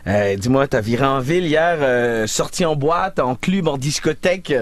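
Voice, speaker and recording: A man with a Québécois accent hosting a morning radio show in a studio, jovial and quick to laugh. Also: mid-range voice, expressive, dry recording. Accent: Québécois French. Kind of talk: casual morning show banter. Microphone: studio microphone.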